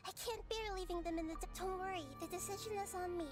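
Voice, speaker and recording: A woman playing a small, earnest, caring girl deity in a video game, speaking gently and thoughtfully, with resolve. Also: very high-pitched, fairly even intonation, fast. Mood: thoughtful, resolute. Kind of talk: scripted video game dialogue. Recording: game music behind the voice.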